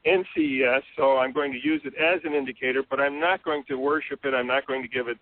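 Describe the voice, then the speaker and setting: A man's voice: mid-range voice, fairly even intonation, quick pace. A male host who steers the interview with jovial, prompting questions. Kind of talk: podcast interview talk. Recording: dry tinny phone line.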